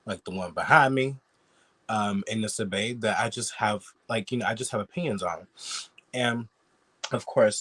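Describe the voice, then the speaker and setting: A man with a somewhat low voice talks casually and bluntly, peppering his speech with fillers and swearing. He sounds sick and sniffly throughout. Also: fairly bright tone. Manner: chatty, blunt, swears freely. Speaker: YouTube commentator. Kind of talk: casual reaction commentary.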